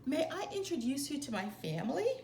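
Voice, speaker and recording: An eager, devoted little circus mouse played by a woman storyteller speaks earnestly in a storybook tale. The high voice swoops expressively and sits in an echoey room. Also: sing-song, fairly quick, gravelly, darkish tone, heavily acted. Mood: friendly. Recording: roomy echo, faint background noise.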